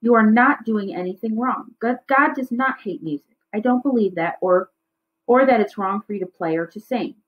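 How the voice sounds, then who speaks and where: A woman's voice: on the high side, moderate pace, lightly acted. A woman voicing an exasperated, protective mother in a storybook. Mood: concerned. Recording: slightly dull, lo-fi recording.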